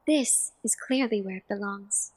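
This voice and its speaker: A woman playing a gentle, dreamy young bridesmaid in an audio drama, airy, earnest and expressive. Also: medium pitch, measured pace, lightly acted. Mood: gently assured.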